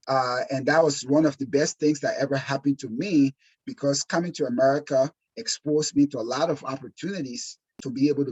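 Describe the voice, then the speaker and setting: A man's voice: medium pitch, a little mellow, slightly rough, dull audio. A man with a Liberian accent presenting a webinar course as instructor, speaking earnestly and emphatically and repeating phrases for stress. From Liberia, West Africa. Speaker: insurance exam course instructor.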